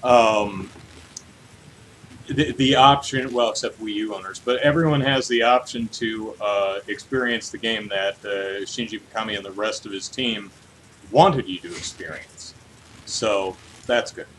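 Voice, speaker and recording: A man's voice: on the low side, unhurried, fairly bright tone, slight background noise, poor audio. A man co-hosting a podcast, chatting casually about the news and thinking aloud in an informal way. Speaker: podcast co-host. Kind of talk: casual discussion of video game news.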